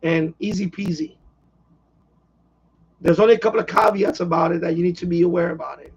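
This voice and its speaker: A man's voice: high voice, fairly even intonation, fairly quick, creaky, slightly rough, muffled recording. A man hosting a hobby live stream, chatting casually in a rambling way and often repeating himself.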